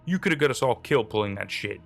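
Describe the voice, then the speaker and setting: A man voicing a hard, blunt criminal who curses with flat contempt, with faint music behind. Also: medium pitch, fast, vocal fry. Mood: angry.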